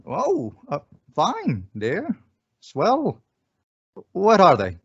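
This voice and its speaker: A man's voice: high voice, huge pitch swings, extremely slow, vocal fry, husky, dull audio, exaggerated voice. A man playing a flustered, well-meaning young husband in an old radio sitcom, hesitant and given to exclaiming. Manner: hesitant, exclaiming comic delivery. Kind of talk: 1950s radio sitcom acting.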